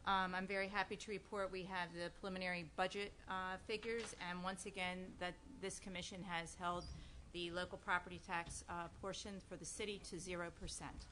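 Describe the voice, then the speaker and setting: A woman commissioner giving brisk, businesslike motions and reports at a city meeting. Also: lowish voice, little pitch movement, bright, forceful tone, a little hiss or hum.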